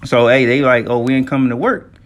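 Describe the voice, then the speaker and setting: A man's voice: mid-range voice, fairly even intonation, quick pace. Slangy man giving informal commentary. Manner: conversational, slangy, repeats "all right" and "you know". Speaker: religious commentator. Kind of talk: informal spoken commentary on news and scripture.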